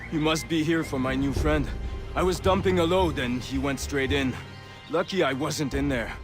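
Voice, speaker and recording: An actor playing a friendly, plain-spoken miner with a French Canadian accent in a video game. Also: male voice, fairly high pitch, moderate pace, over music, close to an everyday voice. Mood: casual. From Quebec.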